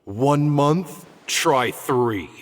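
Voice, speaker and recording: A man's voice: mid-range voice, lively intonation, extremely slow, slight background noise. An actor playing a stern older male teacher who scolds an old colleague with dry sarcasm. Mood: scolding. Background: background music.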